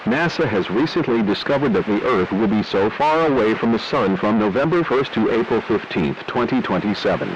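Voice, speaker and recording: A man playing a US president who reads a formal, solemn emergency address over a lo-fi broadcast. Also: lowish voice. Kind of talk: scripted emergency broadcast announcement. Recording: dull lo-fi radio broadcast, loud hiss.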